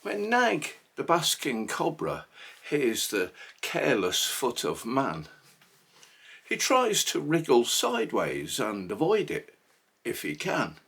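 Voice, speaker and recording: Male poetry reader, husky, theatrical and emphatic, slow delivery with hugely varied pitch. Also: huge pitch swings, a bit bright. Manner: theatrical, emphatic, deliberate phrasing. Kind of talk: dramatic poetry recitation.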